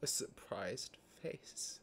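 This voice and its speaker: A young man talks casually to a friend over a voice call, teasing and joking. Now and then he drifts into a hushed, mock-dramatic musing. A faint hiss sits under the voice. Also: drawn-out words. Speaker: gaming video creator.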